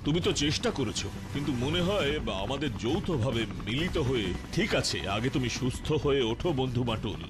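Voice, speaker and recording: A man's voice: medium pitch, animated, fairly quick, hardly any pauses, close to an everyday voice. A man playing an earnest, protective army chief in a cartoon, speaking emphatically and theatrically over music. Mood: relieved, concerned. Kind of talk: Bengali cartoon dubbing. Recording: music behind the voice.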